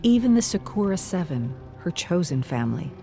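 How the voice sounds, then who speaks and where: A narrator reading a dramatic scripted story in a measured, wistful manner. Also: medium pitch, sing-song, dark tone, faint music behind.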